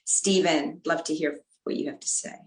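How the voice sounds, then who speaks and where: A woman with a fairly low voice talks earnestly on a panel. She reflects as she goes and strings her thoughts into long, flowing sentences. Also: fairly even intonation, normal speed, low-quality recording. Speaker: panel speaker.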